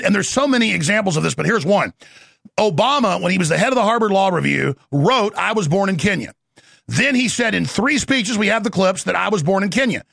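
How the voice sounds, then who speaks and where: A man's voice: high-pitched, vocal fry, a bit bright, no echo, studio quality. A man hosting an interview, ranting in an intense, rambling monologue. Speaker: show host.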